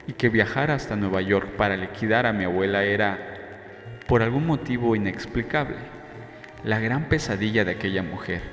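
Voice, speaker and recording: A man with a lowish voice narrates a novel in a measured, dramatic way. The sound is roomy with a long reverb, and faint music plays underneath. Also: fairly bright tone. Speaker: audiobook narrator. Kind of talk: scripted first-person novel narration.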